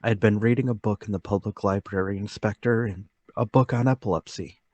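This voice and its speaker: A man portrays a troubled yet determined accused man in a mystery radio play. His voice sits fairly low and sounds dry and close, with earnest, deliberate phrasing. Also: darkish tone, dry recording, lightly acted. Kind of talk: radio play table read.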